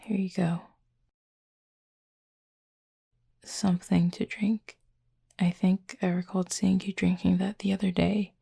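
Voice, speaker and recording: A woman acts out a courteous, softly curious cupid in an intimate audio roleplay. The voice is husky and breathy, steeped in gravelly creak, with a mellow tone. The phrasing is formal, measured and sincere. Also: on the low side, little pitch movement, no room sound, studio quality, heavily acted. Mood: grateful, attentive. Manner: polite, formal, measured, earnest. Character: gentle, formal, curious supernatural cupid roommate.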